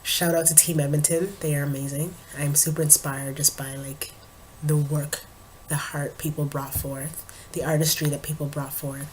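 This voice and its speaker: A young woman vlogger and poet chatting casually to her camera at home, her voice a little raspy from a cold, with frequent ums and small laughs. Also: low-pitched, little pitch movement, normal speed, darkish tone, a little hiss or hum, rough recording. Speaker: vlogger and spoken word poet.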